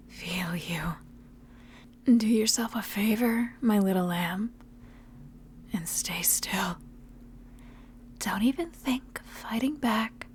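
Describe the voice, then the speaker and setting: A woman voicing a seductive, predatory, ancient vampire in a breathy, intimate whispered ASMR roleplay. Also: mid-range voice, slight background noise. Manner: slow, intimate, menacing endearments.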